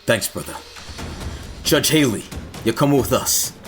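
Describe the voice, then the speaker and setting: An actor playing an armed prisoner who coolly leads a hostage escape in an audio drama. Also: male voice, medium pitch, unhurried, lightly acted. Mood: commanding. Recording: music loud under the voice.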